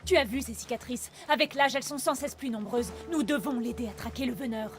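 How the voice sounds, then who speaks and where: A woman's voice: fairly high pitch, a little fast, over music, lightly acted. A woman acting a determined young huntress in a dubbed video game, earnest and urgent. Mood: serious, resolute. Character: determined young huntress worried for her stubborn father.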